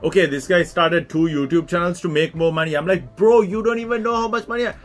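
A man's voice: high voice, fast talker. A man in his thirties from Sri Lanka, a podcast guest, talking bluntly and swearing freely, with "like" dropped all through his speech. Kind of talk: casual interview chat.

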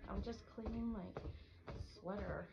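A woman's voice: medium pitch, measured pace. A young woman playing a teasing, affectionate girlfriend in a casual, chatty ASMR roleplay. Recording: dull lo-fi mic, clear background noise.

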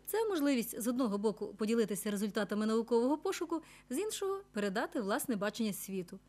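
Very brisk female news reporter. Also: on the high side, expressive, darkish tone. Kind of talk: scripted news narration.

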